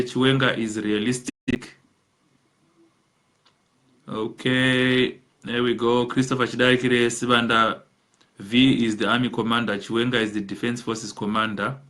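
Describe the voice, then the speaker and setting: A man's voice: medium pitch, a bit bright. A man with a Zimbabwean accent hosting a live call-in show, reading out viewer comments and mixing English with Shona. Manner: reads out comment names, switches between English and Shona.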